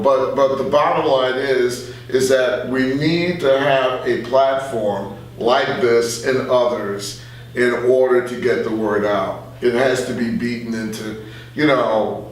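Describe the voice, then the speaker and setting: An older man reflecting in a casual interview as a veteran radio guest, animated and repeating phrases for emphasis. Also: mid-range voice, recorded from a distance. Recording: very echoey room, off-mic, faint hum.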